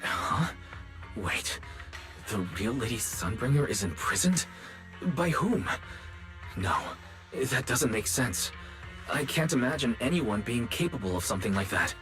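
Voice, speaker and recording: A man's voice: medium pitch, measured pace, long pauses, faint music behind. A man playing an earnest, inquisitive young detective in a video game, wary and questioning. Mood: shocked disbelief. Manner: questioning, expressive, puzzled. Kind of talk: video game story voice acting.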